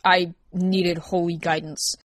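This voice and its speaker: A woman's voice: mid-range voice, normal speed, no room sound, studio quality, natural voice. Woman acting a blunt, glum young goth woman. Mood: glum, flustered.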